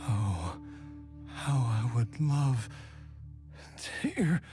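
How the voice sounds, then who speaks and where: An actor playing a weary, tender, self-sacrificing father in a video game, halting and wistful in his speech. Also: medium pitch, very animated, over music. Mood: wistful, fading. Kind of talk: English video game voice acting.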